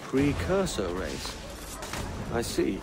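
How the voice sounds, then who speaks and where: An actor playing a guarded, roguish sailor posing as someone else in a video game, with a casual, evasive manner. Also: male voice, medium pitch, wildly swooping pitch, slow pace, creaky, background noise, full character voice. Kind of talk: game cutscene acting.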